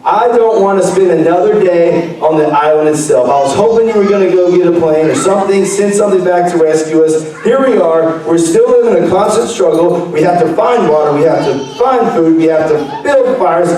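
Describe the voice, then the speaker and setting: A man with a high, mellow voice hosts a children's church program, bantering and joking through a comic skit. He is recorded from a distance, and his voice echoes through a big hall. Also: fairly quick, few pauses, a little hiss or hum. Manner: playful, jokey, bantering. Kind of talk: comic skit hosting for kids. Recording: echoey hall, picked up from afar.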